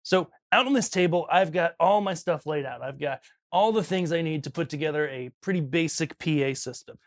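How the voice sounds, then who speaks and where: Higher-voiced man giving a hands-on tutorial. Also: fairly quick, no echo, studio quality. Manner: casual, instructional, frequent "okay" check-ins. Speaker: audio instructor and video host. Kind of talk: hands-on tutorial, explaining step by step. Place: small studio.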